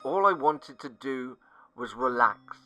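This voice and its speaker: A man narrating a scripted first-person horror story, dramatic and sinking to hushed tones at the tense moments. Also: mid-range voice, expressive, takes it slowly. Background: faint music.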